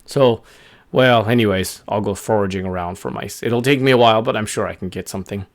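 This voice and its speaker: A man voicing a big, gentle, friendly male wolf in a children's story, amiable and thoughtful in his pauses. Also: fairly low pitch, natural voice. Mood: helpful. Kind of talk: improvised children's story character voice.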